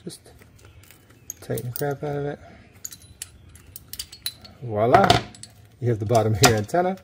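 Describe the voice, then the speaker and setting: A man's voice: medium pitch, expressive, measured pace, background noise. A man giving a casual hands-on how-to, thinking aloud informally as he works with tools on camera. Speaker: DIY video maker demonstrating a build.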